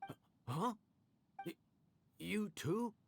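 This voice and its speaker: A man's voice: sits high, expressive, very slow, gravelly, a little hiss or hum, heavily acted. An actor playing a polite, modest young male inn chef in a game, speaking earnestly. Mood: surprised.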